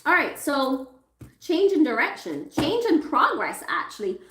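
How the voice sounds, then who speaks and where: A woman reading tarot cards for her viewers in a chatty, reassuring way. She is losing her voice and keeps clearing her throat. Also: sits high, wildly swooping pitch, a little slow, roomy sound, noisy background. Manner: chatty, reassuring, thinks aloud while pulling cards. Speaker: tarot reader.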